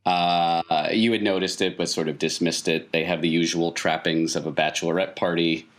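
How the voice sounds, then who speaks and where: An American man from small-town Maine improvising tabletop game narration as game master, building each scene in halting steps. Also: low-pitched, level tone.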